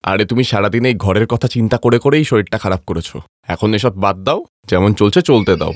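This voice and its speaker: A man's voice: lowish voice, fast, no room sound, clean studio sound, natural voice. A man voicing a caring, reasonable husband worried about his sick wife. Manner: concerned, reasoning.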